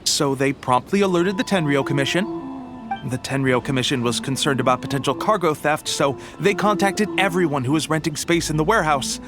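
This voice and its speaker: Man acting as earnest clerk, high male voice, deferential, apologetic, video game, music clearly behind. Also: a little fast, close to an everyday voice.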